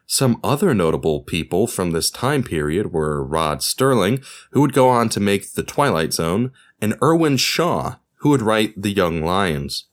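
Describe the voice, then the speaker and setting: Low-voiced man hosting with lively intonation. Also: measured pace, few pauses. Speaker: podcast host. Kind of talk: scripted narration. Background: music.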